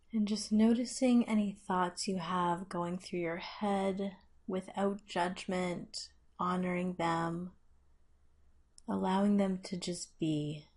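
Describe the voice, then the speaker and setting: A woman leading a guided meditation with measured, instructional phrasing and long pauses. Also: lowish voice, a little mellow.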